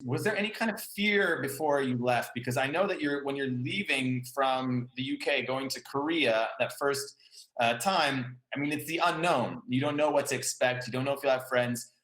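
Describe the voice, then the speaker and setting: A man hosting a podcast interview in a relaxed, conversational way, with hesitant fillers. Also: mid-range voice, normal speed. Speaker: podcast host. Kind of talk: casual interview. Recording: echoey room.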